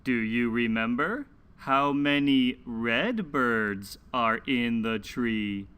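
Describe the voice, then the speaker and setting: A man hosting a children's read-along, reading aloud and teaching in deliberate, simple phrases for young learners. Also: medium pitch, pushed and bright, clear, resonant tone. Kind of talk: slow, scripted children's read-aloud lesson.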